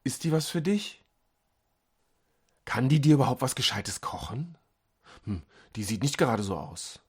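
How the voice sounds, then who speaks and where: A man's voice: medium pitch, very animated, measured pace, darkish tone, breathy edge, exaggerated voice. A man acts out an elderly mother from an audiobook story. She is doting and possessive, with a skeptical, needling manner. Her delivery moves expressively up and down. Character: doting, disapproving elderly mother of grown son.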